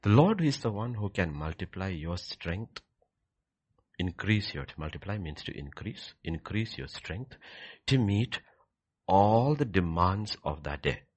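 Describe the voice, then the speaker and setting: Man, deep husky voice, sermon delivery, emphatic repetition, dramatic shifts from hushed to loud. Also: very animated, measured pace. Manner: emphatic, repeats key phrases for effect. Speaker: preacher leading a prayer service.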